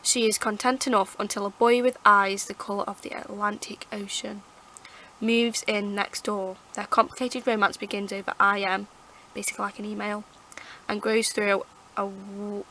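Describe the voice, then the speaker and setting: A woman with a croaky, hoarse throat, rambling chattily as she reviews her favourite books to camera. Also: mid-range voice, sharp tone, a little hiss or hum, rough recording. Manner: rambling, chatty, lots of fillers and restarts. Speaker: book vlogger. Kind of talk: casual book review chat. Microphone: camera mic.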